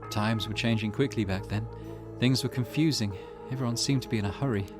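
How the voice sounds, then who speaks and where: Guy doing a wistful woman in a visual novel, low measured voice, grim storytelling, loud music under it. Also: lightly acted.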